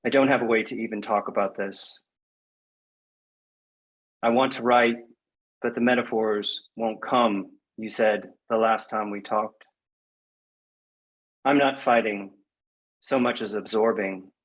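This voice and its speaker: A man speaking as a guest poet in a measured, reflective, slightly hesitant way, as at a reading or interview. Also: fairly low pitch, fairly even intonation, low-quality recording. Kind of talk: poetry reading and conversational interview.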